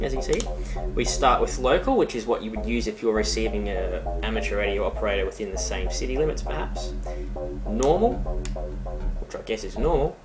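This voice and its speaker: A man from Australia presenting an informal hobby demonstration with wry asides, recorded in a room at home on a handheld music player's microphone. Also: lowish voice. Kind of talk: casual talking product demonstration. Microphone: handheld iPod's built-in microphone.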